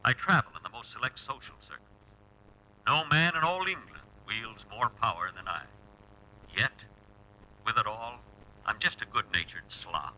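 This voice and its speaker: A man's voice: on the low side, lively intonation, bright, forceful tone. An actor playing a pompous, boastful English lord who grandly narrates his life in a vintage radio comedy sketch. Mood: grandly self-important. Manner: grandiose, deliberate. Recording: noisy old radio broadcast with hum.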